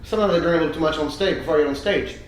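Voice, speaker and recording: A man in his twenties, chatting casually and crudely as a comedian co-host. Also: fairly high pitch. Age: 20s. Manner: rapid, crude, joking. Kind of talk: casual chat. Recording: roomy echo with faint hiss.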